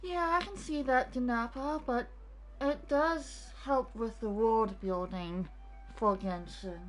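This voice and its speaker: A woman giving casual, unscripted commentary as a game streamer, in a halting way. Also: fairly high pitch, faint music behind, poor audio.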